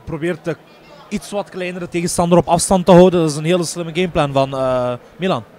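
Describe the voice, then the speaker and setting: A man's voice: fairly high pitch, fairly even intonation, brisk, darkish tone. A man giving live kickboxing commentary as a fight commentator. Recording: noisy event hall ambience.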